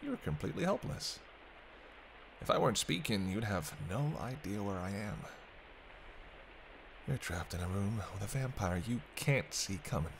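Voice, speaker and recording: A man's voice: fairly low pitch, lively intonation, normal speed, pauses often, rough recording. A man playing a sarcastic, teasing captive vampire in casual, sweary banter for an intimate roleplay audio drama. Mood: taunting. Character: teasing, sardonic male vampire held captive by a hunter. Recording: clear background ambience noise.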